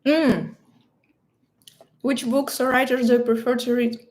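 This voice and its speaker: A woman in her thirties from Russia hosts a casual livestream in accented English. Her voice sits fairly high. She is playful and giggly, pausing to search for words as she answers questions. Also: level tone, darkish tone. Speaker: livestream host.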